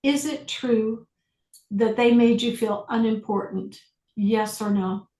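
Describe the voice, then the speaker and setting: A woman leads an online group through a reflective exercise, her voice sitting fairly high. She prompts slowly and deliberately. The sound carries a noticeable room echo. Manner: calm, slow, deliberate prompting. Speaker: facilitator. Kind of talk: guided inquiry on a group video call.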